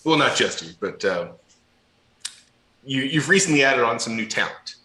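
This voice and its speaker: A man's voice: mid-range voice, lively intonation, normal speed, bright, forceful tone. A man chatting casually on a livestream in a joking, tongue-in-cheek manner, with a roomy echo on the recording. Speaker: panel member. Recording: roomy, echoing sound.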